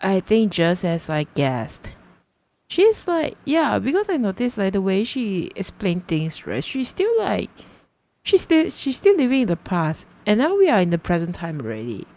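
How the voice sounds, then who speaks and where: A woman's voice: medium pitch, wildly swooping pitch, normal speed, darkish tone. A woman live-streaming a game, reacting casually and thinking aloud in a repetitive way.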